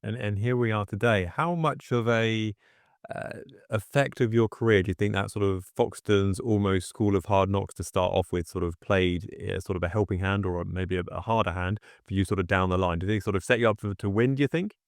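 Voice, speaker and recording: A man's voice: fairly low pitch, fairly even intonation, fairly quick, no room sound, clean studio sound. A man hosting a casual interview, asking chatty, wordy questions in a roundabout way. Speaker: interview host.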